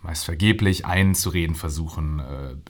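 An earnest male scientist with a low, husky voice, presenting his vision in an audio drama interview. He speaks deliberately, builds rhetorical questions and leans on emphatic pauses. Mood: persuasive, visionary.

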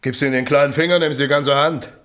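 An actor playing a domineering, complaining small-town building boss who talks curtly, in naturalistic drama dialogue. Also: male voice, fairly high pitch, steady tone, a little fast, a bit bright, close to an everyday voice. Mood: angry, grumbling. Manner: curt, complaining, blustering.

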